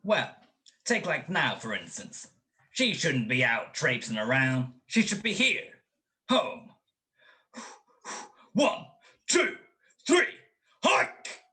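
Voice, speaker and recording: A man playing a dopey, impulsive young ex-college football player in a radio-style comedy mystery, blustering and excitable. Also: on the high side, takes it slowly, pauses often, heavily acted. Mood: sheepish, boastful, then fired up.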